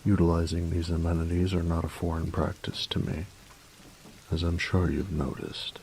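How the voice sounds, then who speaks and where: A man playing a grumpy, workaholic artificer in an audio roleplay, tired and reserved, speaking formally and precisely with hesitant pauses in a gravelly voice. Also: level tone, a little mellow, slight background noise. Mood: stiff, formal. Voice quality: gravelly, very low voice. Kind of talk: audio roleplay addressed to the listener.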